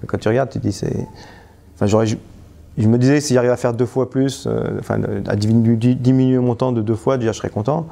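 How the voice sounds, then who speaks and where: A man speaks in a gravelly, dark-toned voice, hesitating and restarting his sentences as he gives a spontaneous testimonial. He sounds like a coach talking off the cuff, trailing off with little filler words. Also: medium pitch, fairly even intonation, fast. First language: French. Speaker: trainer and stress coach.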